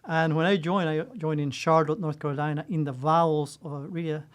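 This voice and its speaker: A man's voice: a little high, fairly even intonation, fast. A man from Costa Rica in his fifties, an executive answering interview questions in an earnest, loose way with restarts. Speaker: supply chain executive.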